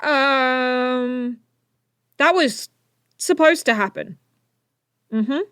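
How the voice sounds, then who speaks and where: Woman as breezy, high-voiced teen inventor hero. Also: very slow, fairly bright tone, clear, resonant tone, no room sound, clean studio sound, full character voice. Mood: flustered. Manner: breezy, self-assured, wry. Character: confident, flippant teenage inventor superhero. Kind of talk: audio drama acting.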